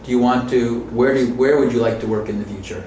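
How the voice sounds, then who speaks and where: A man interviewing a student, patient and soft-spoken with gentle murmured backchannels. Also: mid-range voice, fairly even intonation, fast, a little hiss or hum. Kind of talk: casual interview. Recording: roomy, distant mic.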